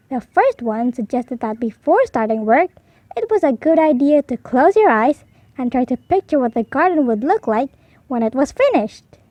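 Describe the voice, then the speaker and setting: A woman reading a children's storybook aloud as an expressive narrator. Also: high voice, dry recording. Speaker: storybook reader.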